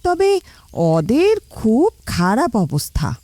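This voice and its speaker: A woman playing a kindly, practical village mother who gently encourages her child in an animated moral story. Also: fairly high pitch, slow pace, dry recording, full character voice. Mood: caring, encouraging.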